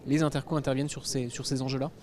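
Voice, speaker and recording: A man hosting a TV panel, putting questions in a halting way and repeating phrases as he forms them. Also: mid-range voice, flat delivery, darkish tone. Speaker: host, interviewer. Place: TV set at a convention. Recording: clear background ambient noise.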